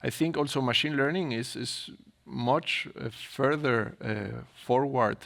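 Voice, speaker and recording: A man speaking as a research panelist, answering informally in halting phrases and often ending on a questioning tag. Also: medium pitch, creaky, fairly bright tone. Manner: halting, tags sentences with "no?".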